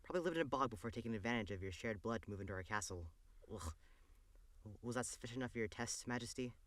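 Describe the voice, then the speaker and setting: Sardonic castle steward male actor, audio drama. Forceful, scolding, long-suffering, recorded dry. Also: medium pitch, lively intonation, fast, dry recording, close to an everyday voice. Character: fussy, exasperated long-serving male royal steward.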